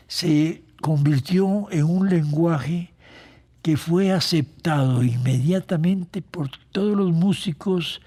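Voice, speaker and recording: Older Costa Rican male composer for a reflective interview: unhurried, husky delivery with halting pauses. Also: on the high side. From Costa Rica. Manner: halting, pausing mid-phrase. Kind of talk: reflective reminiscence.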